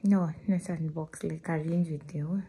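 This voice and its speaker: Croaky, soft-spoken woman vlogger. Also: low-pitched, steady tone, measured pace, a little hiss or hum. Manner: soft, half-whispered, many "like" fillers. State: croaky from just waking up. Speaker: lifestyle vlogger. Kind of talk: casual vlog voice-over.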